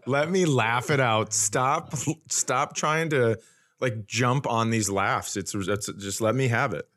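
A man with an average-pitched voice, chatting casually as a comedy podcast co-host. He jokes and teases, jumping in with short interjections. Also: takes it slowly, fairly bright tone. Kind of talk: casual joking banter.

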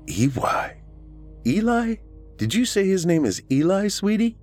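A man voicing an anxious, excitable adult woman with an expressive, informal manner in an audiobook. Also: high voice, unhurried, faint music behind, pitched above the performer's own voice. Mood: startled, questioning.

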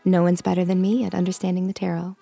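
An actress playing a young tarot-reading psychic who is confident and teasing. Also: female voice, lowish voice, monotone, fast, vocal fry, warm tone, soft background music. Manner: teasing, dry, self-assured. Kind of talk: comedic audio drama.

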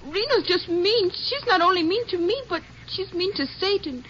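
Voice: extremely high pitch, animated, moderate pace, a bit bright. A performer playing a tearful, feisty young rancher's daughter in an old radio drama. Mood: anxious, insistent. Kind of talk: 1950s radio drama acting. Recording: dull vintage radio, faint noise.

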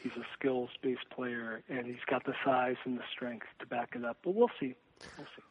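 A man gives his sports analysis as an interview guest, choosing his words haltingly and carefully. His voice sits at a medium pitch and comes through a narrow, phone-like connection. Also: slightly rough. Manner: hesitant, halting, measured. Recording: thin phone-like line.

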